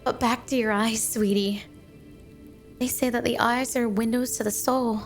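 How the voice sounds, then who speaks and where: Woman playing a sugary, high-voiced yandere snow wife. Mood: adoring, sweet. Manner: sugary, doting, flirty, clingy. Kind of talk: ASMR roleplay addressed to the listener. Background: music.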